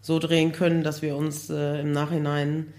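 A hoarse woman talking casually as a podcast guest, chatty and laughing often, with many fillers. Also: low-pitched, level tone, normal speed, darkish tone. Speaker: guest, building project manager.

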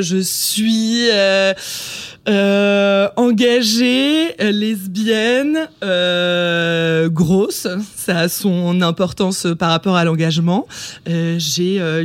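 A woman's voice: medium pitch, steady tone, takes it slowly, dry recording. A woman around thirty talking casually as a guest in a podcast interview.

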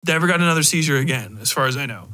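A man's voice: mid-range voice, animated, rapid-fire, sharp tone. A man hosting a podcast, talking casually and emphatically with blunt opinions and frequent swearing. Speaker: podcast host.

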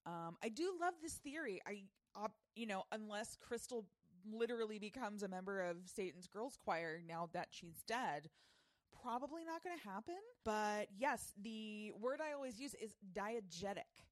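A woman's voice: medium pitch, animated, moderate pace, no room sound. A woman hosting a podcast, chatting and explaining things in a conversational commentary style. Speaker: podcast host.

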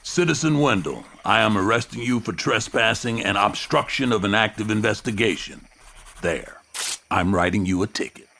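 An actor playing a gruff, stubborn loner police detective in an audio drama, curt and grumpy in a deadpan way. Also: male voice, on the low side, moderate pace, full character voice. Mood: stern, official. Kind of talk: audio fiction acting.

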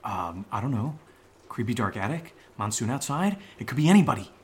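A man playing a nervous, sarcastic young man in a horror audio drama, speaking in halting, rambling bursts with sardonic asides. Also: medium pitch, big pitch swings, natural voice. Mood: uneasy, halting.

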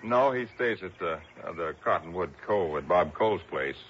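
An actor playing a friendly, folksy fishing resort manager with a hearty, informal manner in a 1960s radio drama. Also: male voice, low-pitched, expressive, moderate pace, fairly bright tone. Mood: easygoing, helpful. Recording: dull old radio recording, faint noise.

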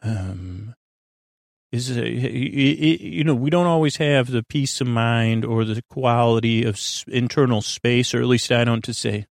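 A man hosting a podcast, delivering a meandering, halting bedtime monologue in a reassuring, gently encouraging way, often trailing off and doubling back. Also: mid-range voice, gravelly, no room sound, studio quality. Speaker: podcast host. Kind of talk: rambling bedtime monologue meant to help listeners sleep.

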